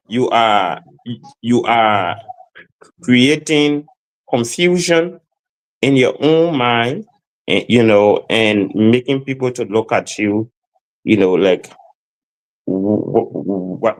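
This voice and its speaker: A man's voice: mid-range voice, slow, pauses often, low-quality recording. A man speaking as a guest in a discussion, emphatic and lecturing, who repeats phrases and stammers as he builds his point. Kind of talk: lecturing opinion.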